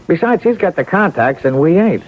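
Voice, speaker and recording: An actor playing a hard-boiled, bossy small-time crook in an old-time radio crime drama, with blunt streetwise talk. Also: male voice, a little high, sing-song, a little fast. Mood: dismissive, sure. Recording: tinny narrowband old radio broadcast.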